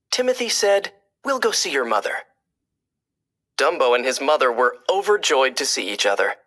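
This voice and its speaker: A woman narrating a children's storybook aloud in an expressive, dramatic style, phrased clearly for young listeners. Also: deep voice. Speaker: storybook reader.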